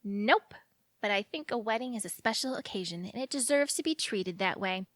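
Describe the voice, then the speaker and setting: A woman's voice: medium pitch, expressive, normal speed, a bit bright, no echo, close to an everyday voice. Dreamy, talkative young small-town woman played by an actress. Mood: dreamy, proud. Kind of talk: naturalistic audio drama dialogue.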